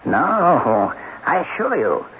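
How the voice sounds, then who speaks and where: A creaky, condescending professor. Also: male voice, on the high side, wildly swooping pitch, slow, full character voice. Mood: assured, insistent. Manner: precise, patronizing. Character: condescending male scientist professor, sly, self-assured, evasive. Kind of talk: 1980s radio drama acting. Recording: dull, noisy old radio broadcast.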